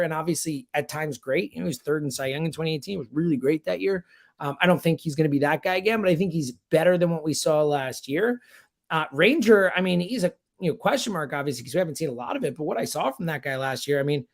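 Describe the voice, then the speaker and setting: A man giving blunt, opinionated sports analysis as a guest commentator, in casual, slangy and emphatic talk. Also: on the high side. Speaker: sports radio producer and host, guest commentator.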